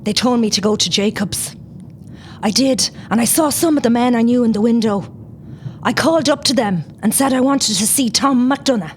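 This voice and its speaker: A woman's voice: a little high, moderate pace, slight background noise, natural voice. A woman playing a determined young rebel, narrating her first-person account in an audio play. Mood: composed.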